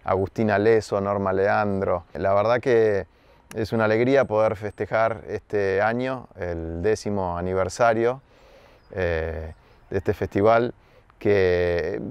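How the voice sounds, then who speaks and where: A man, a theatre director speaking to camera in a garden, thoughtful with pauses and fillers. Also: on the low side, a little mellow, clear, resonant tone, no room sound, highs cut off. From Argentina. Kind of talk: interview to camera. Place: garden outdoors. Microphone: close camera framing.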